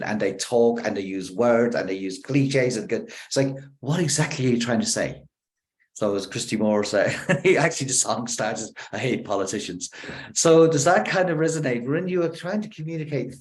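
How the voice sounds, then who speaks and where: An Irish man, a professor, giving a conversational talk on communication over a video call, with quick bursts of speech broken by deliberate pauses. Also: medium pitch, darkish tone. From Ireland.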